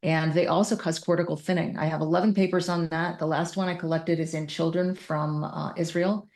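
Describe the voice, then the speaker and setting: A woman's voice: sits low, little pitch movement, brisk, a little mellow. A woman speaking as an interview guest, explaining her work as a clinician in an informal, emphatic, think-aloud way. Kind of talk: conversational interview answers explaining clinical practice.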